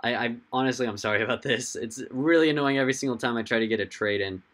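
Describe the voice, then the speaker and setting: A young man with a hoarse, fading voice, hosting a casual livestream, rambling and answering viewers' chat comments as they come in. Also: medium pitch, fast talker, fairly bright tone. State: hoarse, losing his voice. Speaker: livestream host.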